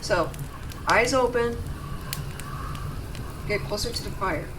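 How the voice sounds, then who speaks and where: A young woman voice actor playing a gruff but devoted tsundere rescuer in an intimate, emotional audio roleplay. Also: mid-range voice, slow pace, lightly acted. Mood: exasperated, loving, then worried, coaxing. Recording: distant mic, clear background noise ambience.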